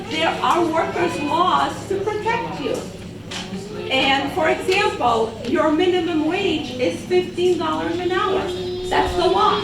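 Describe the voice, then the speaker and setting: A woman from Portugal, a community engagement coordinator, giving a patient workshop talk and often checking in with "okay?". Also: high voice. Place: large public hall with an audience. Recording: echoey hall from far off, crowd noise.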